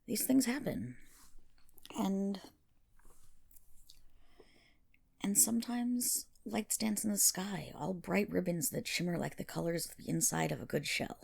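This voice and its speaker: A woman playing an affectionate, teasing selkie in an ASMR roleplay, speaking softly and breathily with a lilt and fond scolding. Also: fairly low pitch, normal speed, creaky, husky, exaggerated voice. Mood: wistful, gentle.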